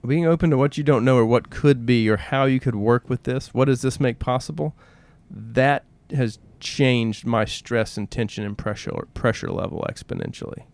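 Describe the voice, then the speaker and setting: A man's voice: mid-range voice, moderate pace, vocal fry, few pauses, a little mellow. A man co-hosting a podcast, speaking in an earnest, reflective conversational style and often voicing questions and quotes. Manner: earnest, poses rhetorical questions, acts out quotes. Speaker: podcast co-host.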